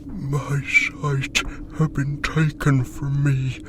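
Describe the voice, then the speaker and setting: A man voicing a frail, blind old male bird, an ominous soothsayer who speaks in cryptic, old-fashioned phrases with a breathy, whispery voice. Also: medium pitch, little pitch movement, very slow, background noise. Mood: pained, pleading. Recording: loud music behind the voice.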